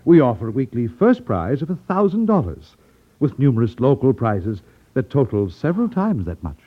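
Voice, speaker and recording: An actor playing an elderly, over-polite racketeer boss with veiled menace in a 1950s radio drama, fussy and condescending. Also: male voice, mid-range voice. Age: older. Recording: tinny, narrow-band vintage radio recording.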